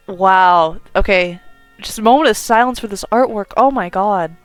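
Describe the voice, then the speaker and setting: A young woman giving casual, excitable playthrough commentary on a visual novel, gushing and swearing freely. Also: mid-range voice, slow pace, no room sound. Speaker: gaming video creator playing a visual novel. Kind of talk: casual playthrough commentary, reading game dialogue aloud. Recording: music playing behind the voice.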